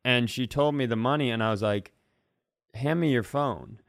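A man's voice: medium pitch, moderate pace, creaky, no room sound. Man in casual comedic podcast chat, dry sound.

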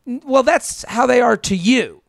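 A man's voice: extremely high pitch, measured pace, creaky, no echo, studio quality. A man in his thirties co-hosting a casual advice podcast, asking rhetorical questions and acting out quotes from imagined people. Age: 30s. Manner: animated quoting of imagined speakers, rhetorical questions. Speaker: podcast co-host.

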